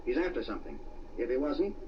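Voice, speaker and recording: An actor playing a nervous, irritable American gangster boss in an old radio drama, talking in clipped, tough street slang. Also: male voice, mid-range voice, huge pitch swings, fast talker. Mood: agitated, suspicious. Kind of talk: 1940s radio acting. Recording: noisy, muffled old radio, distant.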